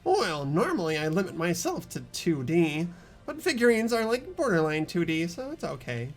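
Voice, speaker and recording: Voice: medium pitch, big pitch swings, normal speed, soft background music. A performer voicing a pompous, nerdy young male anime fan in a game read-aloud. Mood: pompous, geeky.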